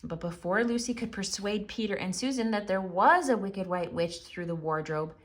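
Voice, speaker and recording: A woman narrating a children's story theatrically, with expressive, dramatic emphasis. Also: mid-range voice, normal speed.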